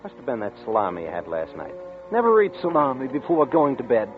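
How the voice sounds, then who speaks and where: A man's voice: mid-range voice, wildly swooping pitch, fast. An actor playing an anxious young man haunted by a nightmare, narrating in tense, brooding first person in 1940s radio style. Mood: uneasy. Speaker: narrator and lead character. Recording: tinny old radio broadcast with music.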